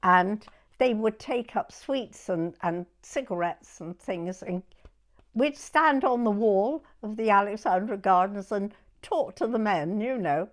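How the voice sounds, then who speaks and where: A woman in her nineties with a southern English accent, reminiscing in an interview in a halting way, pausing to recollect. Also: mid-range voice, lively intonation. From southern England. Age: 90s. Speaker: oral history interviewee.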